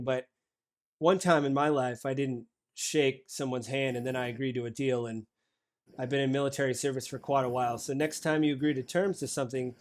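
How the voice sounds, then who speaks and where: A man playing a calm, wry male commanding officer who talks in a measured, low-key way. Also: close to an everyday voice.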